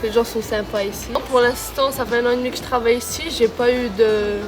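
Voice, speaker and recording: A woman's voice: on the high side, steady tone, fast talker, over music, low-quality recording. A woman who works locally, chatting in a casual street interview.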